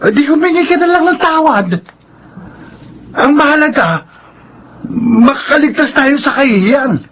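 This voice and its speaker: Young Filipino son, high voice, agitated, insistent, radio drama, faint noise. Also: male voice, expressive, measured pace, a bit bright, full character voice. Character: indignant, image-conscious young son and brother.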